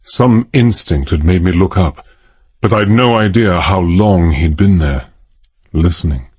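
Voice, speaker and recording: A gentleman adventurer in a dramatized thriller. His husky, fairly deep voice is grave and confiding, with a tense edge suited to dangerous undercover work. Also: a little slow, dull audio. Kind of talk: dramatized first-person narration.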